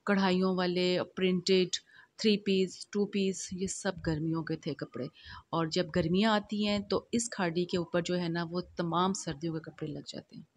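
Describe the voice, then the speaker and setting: A middle-aged woman narrates her own vlog over the footage, chatty and homely, leaning on little fillers as she goes. Her voice sits fairly low and stays level, with little rise or fall. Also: steady tone, moderate pace, no room sound. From Pakistan. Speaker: vlogger.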